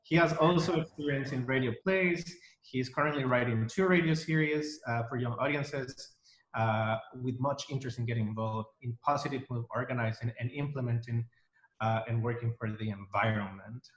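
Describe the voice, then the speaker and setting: A man's voice: medium pitch, moderate pace. Man hosting from an echoey room. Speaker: interview host. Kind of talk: casual interview.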